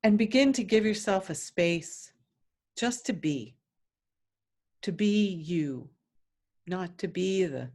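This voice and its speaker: A woman leading a guided meditation as a teacher, in a gentle, soothing, instructive way with long pauses between phrases. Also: on the low side.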